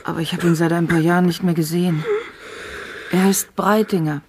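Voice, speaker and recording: A woman's voice: lowish voice, fairly even intonation, a little fast, noisy background, natural voice. An actress playing a restless, edgy young woman in a science-fiction radio drama, with terse delivery. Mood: guarded, subdued. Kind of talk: German radio drama dialogue.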